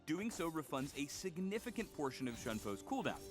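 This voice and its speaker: Man, highish pitch, lively swooping intonation, scripted promo narration, game explainer, music behind. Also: brisk. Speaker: game update video narrator.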